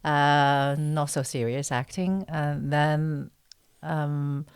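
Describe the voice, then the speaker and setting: Chinese-accented woman chatting in an interview, low voice, kinda hesitant, dry close recording. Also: flat delivery, extremely slow, clean tone, no room sound. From China. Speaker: guest, actress and writer. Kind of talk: casual interview chat.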